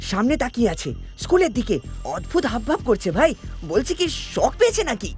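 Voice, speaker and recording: A man voicing an excitable, slightly bumbling detective sidekick in a cartoon, chatty and full of exclamations. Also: extremely high pitch, fairly quick, soft background music. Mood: flustered. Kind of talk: animated cartoon dialogue.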